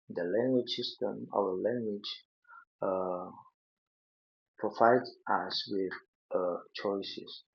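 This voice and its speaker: A man with an Indonesian accent giving an unscripted lecture over slides, halting often with fillers and restarts. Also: lowish voice, gravelly, breathy edge. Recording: dull lo-fi recording.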